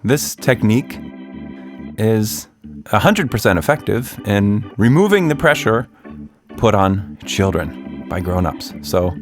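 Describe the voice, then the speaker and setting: A man's voice: fairly low pitch, sing-song, takes it slowly, soft background music. A man hosting a podcast for children, chatting casually and narrating a story.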